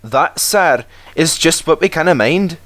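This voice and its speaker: Cheeky Scottish talking locomotive, raised pitch, mock-innocent, for children's storybook. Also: male voice, expressive, a little slow, a bit bright, no echo. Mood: pained, innocent. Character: cheeky, sly male Scottish twin steam engines.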